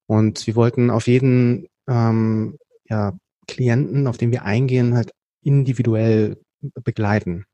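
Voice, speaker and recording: A man from Berlin, a podcast guest, telling his story in a casual chat, often pausing with fillers and restarting sentences. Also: mid-range voice, fairly even intonation, mellow, no room sound. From Berlin, Germany. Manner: explains at length with frequent hesitation fillers and restarts. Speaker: podcast guest, company co-founder.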